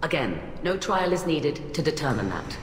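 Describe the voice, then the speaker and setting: Very deep-voiced, haughty alien admiral. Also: female voice, very animated, normal speed, a little hiss or hum. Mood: cool disdain. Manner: clipped, formal, condescending. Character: cold, haughty alien admiral, female, middle-aged scientist, ruthlessly rational. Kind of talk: video game voice acting. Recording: echoey hall reverb, music behind.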